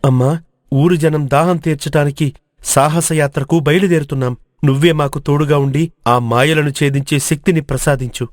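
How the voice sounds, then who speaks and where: A man acts a courageous village hero for an animated folk tale. The voice sits high for a male, resolute and earnest, declaiming each line. The sound is dry. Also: normal speed, no room sound, lightly acted. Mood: devout. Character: brave, determined young village hero. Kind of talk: animated moral story dubbing.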